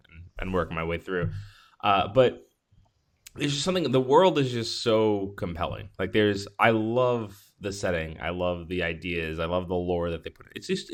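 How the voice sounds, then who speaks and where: Guy with a lowish voice just chatting on a podcast, kinda rambly, thinks out loud. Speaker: podcast co-host. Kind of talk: casual chat.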